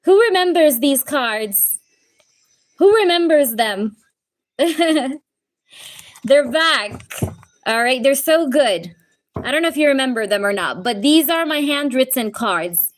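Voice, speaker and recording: A woman doing live tarot readings, chatting casually and greeting viewers by name. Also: sits high. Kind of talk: casual live-stream chat.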